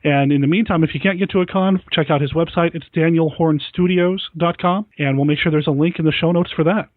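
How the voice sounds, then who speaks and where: An American man with a somewhat high-pitched voice hosts a casual podcast. He talks like a keen fan, full of compliments. The sound is close and dry, with no room to it. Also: steady tone, a little fast, no room sound, narrow, tinny audio. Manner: enthusiastic, complimentary. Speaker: podcast host. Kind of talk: casual podcast talk on classic monster movies.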